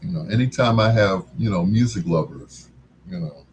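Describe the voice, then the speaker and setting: An older man hosting a music livestream, chatting casually in a laid-back, folksy, unhurried way. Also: fairly low pitch, expressive, vocal fry, a little mellow, a little hiss or hum. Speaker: livestream music show host.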